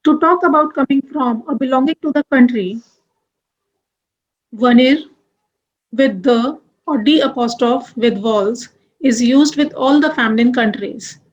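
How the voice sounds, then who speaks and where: A young woman teaching French grammar, moving deliberately through examples and spelling out letters one by one. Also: sits high, muffled recording. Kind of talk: grammar lesson.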